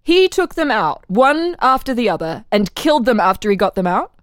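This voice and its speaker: A woman's voice: a little high, very animated, normal speed, no echo, studio quality. A woman reading the part of an insistent official who questions a murder prisoner methodically in a dramatic reading. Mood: probing, insistent. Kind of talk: dramatic reading of an interrogation transcript.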